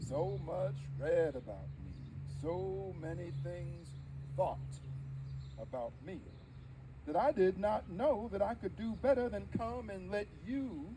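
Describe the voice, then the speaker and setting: Actor as a dignified, high-pitched old-time preacher orator. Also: male voice, darkish tone, slight background noise, heavily acted, rough recording. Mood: firm, dignified. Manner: emphatic, deliberate storytelling with dramatic pauses. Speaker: live history interpreter. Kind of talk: theatrical first-person oratory before an audience.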